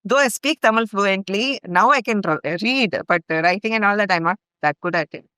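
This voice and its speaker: A woman's voice: mid-range voice, brisk, pushed and bright, smooth, no room sound, studio quality. A middle-aged woman from India with an Indian English accent, earnestly telling a long personal story as a podcast guest. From India (grew up in Bhopal). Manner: earnest, recounts events in long chains with fillers. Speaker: guest, longtime ashram volunteer.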